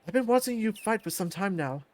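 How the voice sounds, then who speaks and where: A man voicing a confident old fighter who recruits with measured, self-assured speech in a visual novel. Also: high-pitched, expressive, a little mellow.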